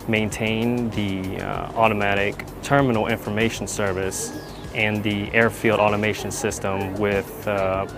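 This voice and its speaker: Low-voiced man giving a guided tour. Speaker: air traffic controller giving a virtual tour. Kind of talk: semi-scripted explanatory tour narration.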